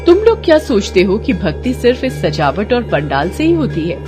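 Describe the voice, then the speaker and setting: A woman performs a righteous mother goddess in a storybook tale, stern and protective of her devotees. She declaims in a commanding, scolding way at a middle pitch. Clear music runs behind her. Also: big pitch swings, moderate pace, natural voice. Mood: wrathful.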